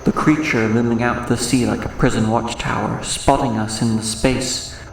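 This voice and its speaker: A deeply echoing, distant voice: a man acting a haunted horror narrator. He tells his seaside tale gravely, in literary first person, with faint noise behind him. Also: fairly even intonation, normal speed, lightly acted.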